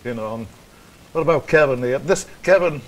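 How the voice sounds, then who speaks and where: A man's voice: mid-range voice, expressive, fairly quick, over a noisy crowd. A man hosting a casual chat, jovial and rambling, laughing easily with his guests. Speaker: host.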